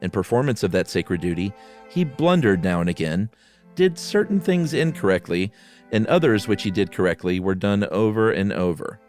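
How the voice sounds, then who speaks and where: A man with a fairly low voice co-hosts a podcast, reading a horror tale aloud and chatting casually about it. Soft guitar music plays faintly behind him. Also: very animated, moderate pace. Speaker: podcast co-host. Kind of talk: dramatic reading of a horror short story with casual asides.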